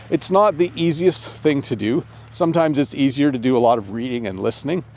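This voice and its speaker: A man's voice: medium pitch, a little mellow. A man who speaks English natively, explaining step by step in an instructional video as a teacher, summing up as he goes. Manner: clear step-by-step explaining with repeated summaries. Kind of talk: instructional talk to camera.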